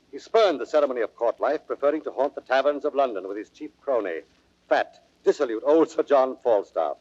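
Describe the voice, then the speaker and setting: A man's voice: medium pitch, darkish tone, no echo. A man giving scripted, emphatic dramatic narration for an audio play, on an old recording. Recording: dull vintage audio recording.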